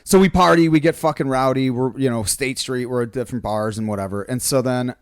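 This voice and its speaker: A man of middling pitch talks as co-host on a live stream. His storytelling is informal and slangy with frequent swearing, and it is recorded very dry, free of room sound. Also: fairly quick, clear, resonant tone, dry recording. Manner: profane, slangy, conversational. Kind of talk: casual banter and storytelling.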